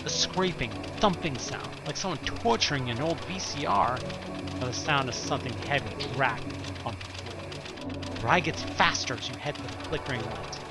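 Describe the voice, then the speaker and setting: A man reading scripted second-person horror narration in a suspenseful, expressive storytelling manner with deliberate pauses. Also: a little high. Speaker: narrator of an audio play. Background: music.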